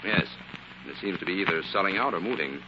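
A man's voice: sits low, expressive, fast. A man with an American accent playing a level-headed, inquisitive detective in a 1940s radio drama. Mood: thoughtful, businesslike. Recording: muffled, noisy 1940s radio recording.

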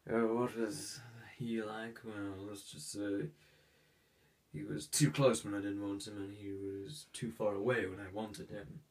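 A haughty, sick young heir, played by a man in an ASMR roleplay talks in a formal, hesitant way, stuffy with a cold. His voice sits low and unhurried. The mic is a little distant, with faint hiss behind. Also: level tone.

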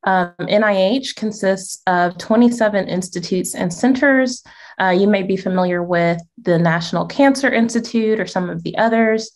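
A woman's voice: medium pitch, moderate pace, a little mellow. A woman presenting over a video call, friendly and explanatory, with light laughs now and then. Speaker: conference presenter, associate director. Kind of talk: virtual conference presentation.

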